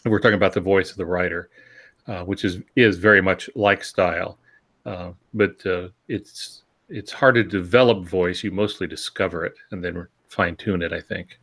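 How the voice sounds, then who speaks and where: A man co-hosting a podcast, discussing things casually in reflective, halting phrases. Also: low voice, fairly even intonation, fairly bright tone. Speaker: podcast co-host.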